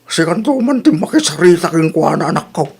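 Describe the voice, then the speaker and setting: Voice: mid-range voice, sing-song, brisk, gravelly, a little mellow, breathy edge, full character voice. A performer with an Ilocano accent playing a grumbling, complaining old husband and father in a radio comedy drama, with exaggerated exclamations.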